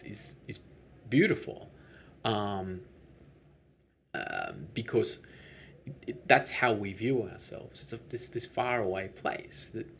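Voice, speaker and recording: A man with a low-pitched voice answers interview questions as a business owner. He talks in a rambling, hesitant way, often restarting sentences. A faint hum of ambience sits behind him. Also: fairly bright tone. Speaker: lavender farm business owner.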